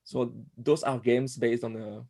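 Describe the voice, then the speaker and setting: A man in his forties from Corsica with a French accent, a guest telling his story in a podcast interview, pausing often with fillers and restarts. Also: medium pitch, fairly even intonation, mellow. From Corsica, France. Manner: hesitant, many fillers and restarts. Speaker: guest, animator.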